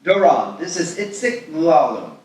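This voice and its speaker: A man reads the part of a kindly, protective older rabbi with a slightly high voice. He speaks formally and with pride at a live table read. The sound is very echoey and far from the mic. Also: very animated, normal speed, a little mellow. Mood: proud, warm. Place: live festival event, echoing room. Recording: echoey hall from far off, faint noise.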